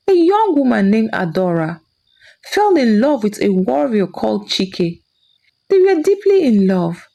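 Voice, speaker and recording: Woman storyteller, scripted folktale narration, lively intonation, soft dark tone. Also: a little high, vocal fry.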